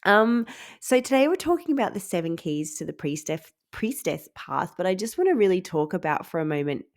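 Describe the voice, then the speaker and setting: A woman's voice: fairly low pitch, very animated, brisk, darkish tone. A woman hosting a spiritual podcast, talking casually and earnestly. Her voice is nasal and stuffed up from a head cold. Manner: chatty and earnest, many ums and laughs. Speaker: podcast host.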